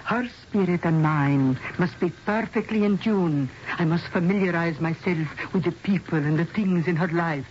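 A woman's voice: lowish voice, a little mellow, natural voice. An actress as solemn mystic woman psychic, muffled old radio. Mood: solemn, mystical. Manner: solemn, theatrical, deliberate phrasing. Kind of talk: 1970s radio drama acting. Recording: dull, noisy old radio broadcast recording.

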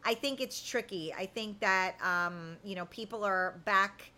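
A woman's voice: medium pitch, measured pace, sharp tone. A woman in her fifties hosting a casual live chat, talking to viewers in a candid, blunt, colloquial way. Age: 50s. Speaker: host of a live chat show.

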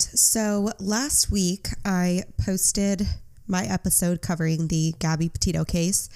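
A woman's voice: lowish voice, dark tone, clean tone. A young woman hosting a true crime podcast, sounding congested from a cold, chatty and earnest. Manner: chatty, earnest, apologetic asides. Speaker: podcast host.

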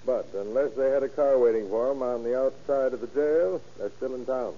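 An actor playing an authoritative county sheriff giving clipped orders in a 1930s radio crime drama. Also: male voice, medium pitch, moderate pace, warm tone, close to an everyday voice. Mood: commanding. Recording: muffled old radio recording.

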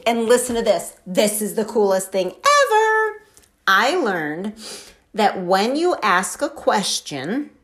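A woman's voice: mid-range voice, fairly bright tone. A woman giving a casual motivational talk, chatty and enthusiastic, full of "like" and "right?". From Wisconsin. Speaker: podcast host.

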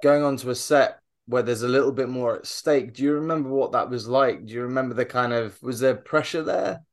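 A man's voice: mid-range voice, brisk. A man hosting an interview, asking questions and listening with short murmurs of agreement. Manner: attentive, many short backchannels. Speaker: interview host.

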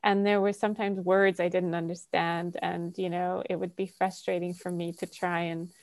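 A woman's voice: lowish voice, little pitch movement, normal speed, darkish tone, dry recording. A woman hosting a podcast in casual conversation, chatty and laughing often, with lots of fillers. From Canada. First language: Polish.

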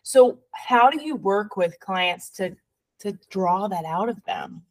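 A woman's voice: medium pitch, expressive, slow pace. A woman with an English accent hosting a podcast interview, chatty and upbeat with frequent fillers. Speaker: podcast host. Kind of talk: casual interview.

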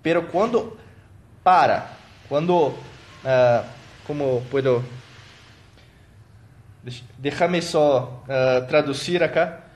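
A man with a slightly higher voice, whose first language is Portuguese, hosts a live stream as a motivational coach. His delivery is halting, full of fillers, restarts and small self-corrections, and he thanks viewers by name as they comment. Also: a little mellow.

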